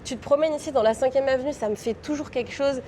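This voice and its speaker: A young French woman with a French accent, chatting as an interview guest and telling stories in a lively, talkative way. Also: high-pitched, fast talker, a little hiss or hum. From France. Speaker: guest, real estate broker. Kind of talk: casual interview chat.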